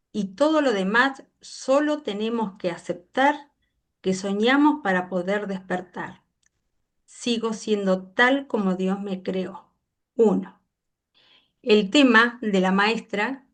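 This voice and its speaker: A woman hosting an interview, asking questions with hesitant restarts. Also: medium pitch. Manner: hesitant, restarts, warm backchannels. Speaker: interviewer, event host.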